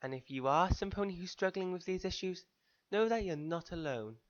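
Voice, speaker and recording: A man's voice: sits high, fairly quick, dull audio. A man reading fan fiction as an earnest first-person dramatic narration, addressing his listeners directly on an amateur recording.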